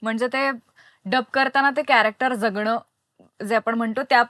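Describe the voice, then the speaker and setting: A woman's voice: fairly high pitch, steady tone, normal speed, a bit bright, no echo, studio quality. A woman hosting a conversational interview, attentively summing up and prompting, with English words mixed in. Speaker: podcast host, interviewer.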